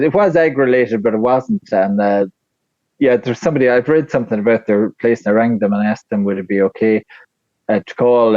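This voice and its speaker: A man with a flat Tipperary Irish accent, chatting casually as a podcast guest, rambling with lots of fillers and restarts. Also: medium pitch, dry recording, lo-fi sound. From Ireland. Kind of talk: casual interview chat.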